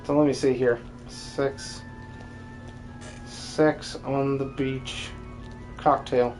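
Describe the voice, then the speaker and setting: A game streamer who dubs characters, chatting casually during a stream. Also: medium pitch.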